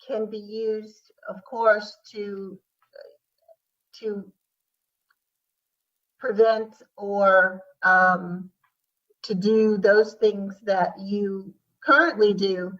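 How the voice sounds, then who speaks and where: A woman official explaining things formally and haltingly at a public hearing. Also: medium pitch, fairly even intonation, a bit bright. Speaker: city grants official answering questions. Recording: muffled audio, distant microphone.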